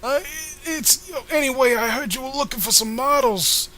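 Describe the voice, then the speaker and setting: A man voicing a bubbly, boastful fourteen-year-old girl in a strained, unconvincing falsetto. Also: moderate pace, pushed and bright, poor audio. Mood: excited, perky. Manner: gushing, self-adoring.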